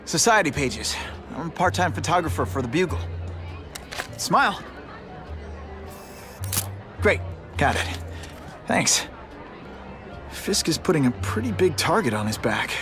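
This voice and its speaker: An actor playing a young male hero, wisecracking and energetic, who works as a part-time photographer. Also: on the high side, measured pace, long pauses, over music, crowd noise. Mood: quippy. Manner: quippy, energetic.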